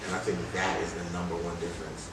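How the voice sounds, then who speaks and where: A man's voice: low voice, flat delivery, fast. A man telling stories casually in an interview as a rap artist, with informal slangy phrasing and quoted asides. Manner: informal slang, often quoting others and punctuating with "like" and "you know". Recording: very echoey room, distant mic, loud noise.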